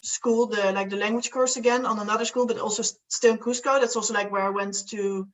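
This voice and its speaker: A woman's voice: on the high side, steady tone, fast talker, distant mic, poor audio. Rambling young Dutch woman. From Netherlands. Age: young adult. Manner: rambling, many fillers and restarts, laughs often. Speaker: guest. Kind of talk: casual chat.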